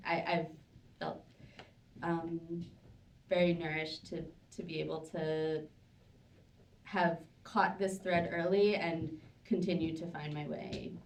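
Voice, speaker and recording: Soft-spoken woman in an echoey hall. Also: low-pitched, fairly even intonation. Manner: warm, halting, many fillers and restarts. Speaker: panel guest. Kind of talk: casual live panel sharing. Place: synagogue hall, live audience. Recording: echoey room, distant mic.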